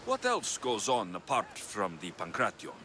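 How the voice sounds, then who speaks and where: An actor playing a gruff, stubborn young Greek mercenary in a video game cutscene, terse and deadpan. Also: male voice, lowish voice, unhurried, a little hiss or hum, exaggerated voice. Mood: wry, questioning.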